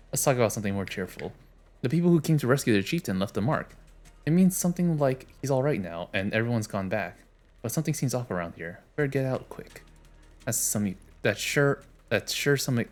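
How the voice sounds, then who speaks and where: Brief: male streamer reading story text aloud, wry and casual, faint background music. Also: lowish voice. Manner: casual, wry, reads lines with offhand jokes. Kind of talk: reading game dialogue aloud on a live stream.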